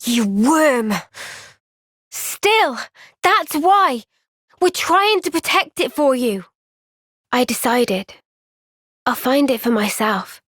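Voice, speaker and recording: A woman playing an earnest, gentle young female soldier in a video game cutscene, speaking with measured, sincere resolve. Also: sits high, expressive, no room sound, studio quality, heavily acted. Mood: urgent, determined.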